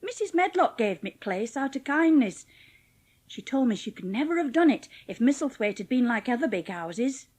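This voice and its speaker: A woman's voice: fairly high pitch, sing-song, normal speed, darkish tone. A woman playing a cheerful, plain-spoken young housemaid with a Yorkshire accent in a dramatised audiobook. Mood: chatty, frank. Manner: chatty, blunt, homely dialect speech.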